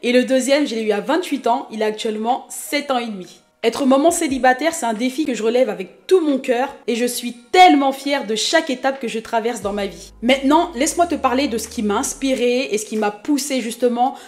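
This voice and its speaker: A woman's voice: fairly high pitch, brisk, hardly any pauses, a little mellow. A woman in her thirties from Haiti, chatting casually and self-mockingly to her viewers as she introduces herself. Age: 30s. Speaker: YouTube vlogger.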